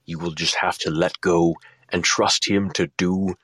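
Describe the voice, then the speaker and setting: A man plays a grave, reassuring mentor in an audio drama. His voice is very low and husky, measured and calm, recorded dry. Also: dry recording. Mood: calm counsel. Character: calm, grave male mentor advising a hero.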